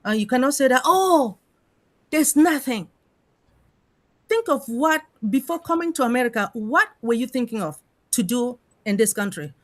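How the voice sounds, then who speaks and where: A woman's voice: fairly high pitch, a little fast, dry recording. A middle-aged woman with an African accent, speaking emphatically in an informal discussion and putting rhetorical questions. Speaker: panel member in an open discussion.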